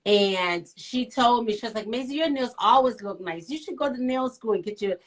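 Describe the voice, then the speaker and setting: A middle-aged woman from Liberia, a nail professional and author, telling her story as an interview guest in a lively, teasing way. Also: a little high, moderate pace. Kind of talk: casual interview.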